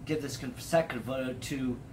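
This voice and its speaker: A man's voice: mid-range voice, steady tone, normal speed, darkish tone, a little hiss or hum, rough recording. An American man in his late twenties presenting a personal project vlog in an earnest way. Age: late 20s. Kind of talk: vlog, part scripted narration, part casual presenting to camera.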